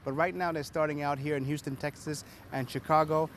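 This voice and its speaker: A man's voice: a little high, steady tone, a little fast. A man hosting an on-location interview as a presenter, speaking outdoors. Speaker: interviewer and show host. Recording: clear outdoor street and traffic noise. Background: street ambience and vehicles.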